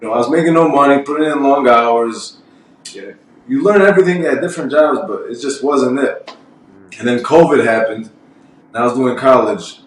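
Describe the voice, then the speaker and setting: Twenties guy, low voice, rambling and blunt, distant mic, very echoey. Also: normal speed. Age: 20s. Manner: rambling, blunt, swears freely. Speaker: podcast guest. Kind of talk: casual podcast chat. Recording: very echoey room, mic far off.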